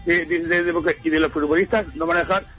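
A man with an accent from Spain calling a radio show, halting and repeating words as he gives his opinion. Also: on the high side, steady tone, slightly rough. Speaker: radio phone-in caller. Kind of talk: casual opinion on a call-in show. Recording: dull radio call, music behind.

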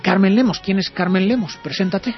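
Fast-talking Spanish male radio host. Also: high voice, dull audio, faint music behind. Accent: Spanish from Spain. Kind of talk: live radio interview, warm chat with guest. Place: radio studio.

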